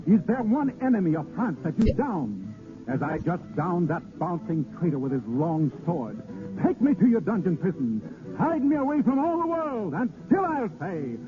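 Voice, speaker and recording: Tinny-sounding swaggering poet rebel. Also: male voice, sits high, big pitch swings, moderate pace. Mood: impassioned. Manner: declamatory, grandiose. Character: defiant, swaggering, romantic vagabond poet. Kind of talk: 1930s radio drama acting. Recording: tinny 1930s radio with music behind.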